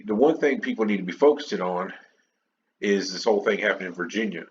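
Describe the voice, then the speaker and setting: Low-pitched American man, dull noisy recording. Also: fast talker. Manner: rambling, conversational. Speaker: podcast host. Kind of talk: unscripted opinion monologue, casual chat. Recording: dull lo-fi audio, clear background noise.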